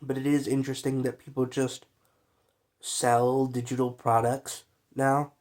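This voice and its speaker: A man's voice: medium pitch, little pitch movement, measured pace, a little mellow. A young man who is sick and coughs now and then, talking in a loose, rambling, slangy vlog monologue full of fillers. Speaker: vlogger.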